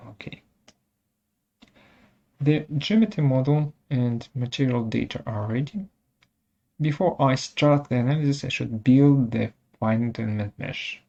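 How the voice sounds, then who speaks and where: A software webinar presenter of unclear sex talks through a demonstration very slowly, in short and halting pieces. The voice is dark and creaky, with a medium pitch. The audio is dull and lo-fi, with occasional mouse clicks. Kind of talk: technical tutorial demonstration, narrated step by step.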